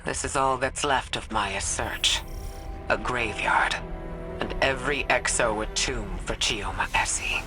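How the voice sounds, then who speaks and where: A woman playing a somber, mournful observer in a video game, in a hushed, whispery voice. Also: extremely low pitch, unhurried. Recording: music under the voice.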